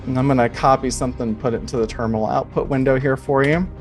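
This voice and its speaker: A man narrating a tabletop game as game master in a casual, halting, conversational way, improvising as he goes. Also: medium pitch, steady tone. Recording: music under the voice. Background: music.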